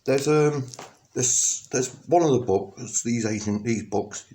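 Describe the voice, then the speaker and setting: A middle-aged man from England, talking to camera in a rambling personal monologue, halting with many ums and restarts, then bursting out emphatically. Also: medium pitch, warm tone. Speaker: vlogger.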